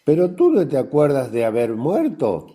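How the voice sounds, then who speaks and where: An actor playing an insistent, skeptical Pharisee interrogator with a husky voice in a devotional radio drama. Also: male voice, on the high side, wildly swooping pitch, moderate pace, dark tone, heavily acted. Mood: probing, skeptical. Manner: probing, pointed questioning.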